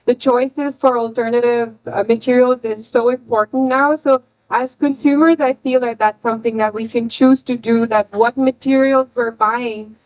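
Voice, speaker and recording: A woman's voice: a little high, steady tone, normal speed, a little mellow, poor audio. A woman designer speaking on a panel in a casual, thoughtful way, with many fillers. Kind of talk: panel talk.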